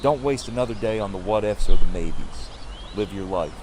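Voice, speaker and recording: An actor playing a weary, earnest community leader in an audio drama, with a measured delivery. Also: male voice, on the low side, natural voice. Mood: tender, urging. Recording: clearly noisy outdoor ambience behind voice.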